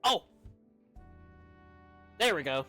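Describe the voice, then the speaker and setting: Guy with a really high voice chatting thru his game stream, casual, some music behind him. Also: very animated, drawn-out words. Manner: chatty, reactive. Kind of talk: casual live gameplay commentary.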